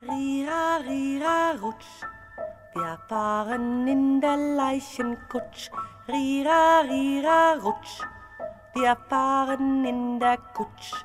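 A woman singing a mother's poems to her child in a soft, lilting way. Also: high-pitched, very slow, over music. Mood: wistful. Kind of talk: sung poem.

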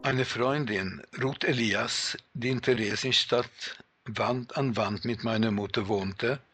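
An older man calmly recalling his childhood and his parents in a personal testimony. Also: medium pitch, slow, faint music behind.

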